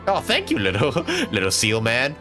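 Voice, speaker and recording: A man's voice: mid-range voice, wildly swooping pitch, moderate pace. A man live-streaming a game with casual, unscripted, sweary commentary, talking to himself as he plays. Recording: game music behind.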